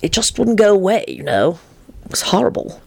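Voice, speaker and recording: A woman voicing a mild, pensive young male wizard in a story, calm and deliberate in manner. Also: mid-range voice, gravelly, breathy edge, pitched above the performer's own voice, full character voice.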